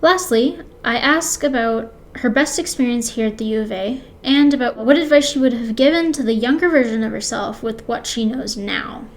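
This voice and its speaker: Casting: young female interviewer for a radio piece, voice on the higher side. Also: normal speed, few pauses. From born in Sri Lanka, grew up in Canada. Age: young adult. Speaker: interviewer and host.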